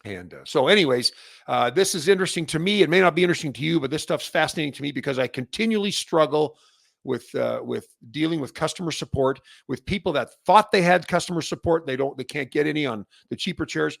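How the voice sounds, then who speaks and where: Gravelly Canadian man rambling on his soapbox. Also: fairly high pitch, animated, quick pace, no echo, clean studio sound. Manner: rambling, many fillers and restarts, gets fired up on his soapbox. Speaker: host of a product industry update. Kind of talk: unscripted solo monologue.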